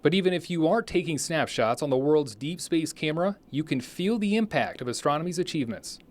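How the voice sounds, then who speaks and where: A man reads scripted news narration. His voice sits slightly high and his delivery is quick. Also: animated, slight background noise. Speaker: news reporter.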